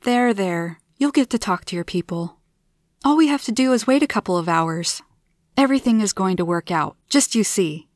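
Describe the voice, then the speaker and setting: A woman's voice: mid-range voice, animated, normal speed, no room sound, studio quality, close to an everyday voice. A woman voicing a friendly, candid, easily tired woman with an earnest, emphatic manner in a storybook. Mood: reassuring. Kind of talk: storybook character voice.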